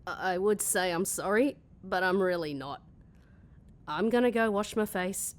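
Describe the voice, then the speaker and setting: A woman's voice: medium pitch, a little slow, natural voice. A woman playing a hungover, sarcastic office worker, irritable and blunt, in a comedy audio drama. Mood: queasy, sardonic. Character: grumpy, hungover, sarcastic woman working weekend office shift.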